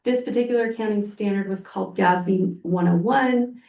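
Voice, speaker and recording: Hoarse woman presenting an audit report. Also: mid-range voice, moderate pace, dark tone. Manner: explanatory, plain and practical. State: hoarse from a cold. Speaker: external auditor. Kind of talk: presenting a financial report at a public board meeting. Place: public meeting room. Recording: echoey meeting room, mic far off.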